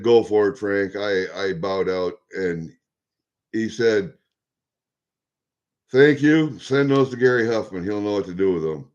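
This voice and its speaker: A man in his sixties from Iowa with a Midwestern American accent, chatting to viewers in a rambling, halting, blunt and salty way. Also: fairly low pitch, a little mellow. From Iowa, USA. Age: 60s. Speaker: vlogger. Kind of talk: rambling casual chat to viewers. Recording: dull lo-fi home recording.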